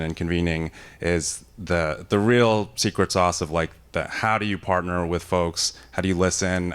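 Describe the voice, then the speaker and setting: A man with a very low, resonant voice speaks at a conference luncheon, holding a microphone. He has a naturally loud, energetic delivery from years of teaching and summer camp work. His talk flows casually, with fillers and restarts. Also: clear, resonant tone. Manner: energetic, self-described loud voice. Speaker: panel speaker. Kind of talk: conversational panel talk. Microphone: handheld microphone.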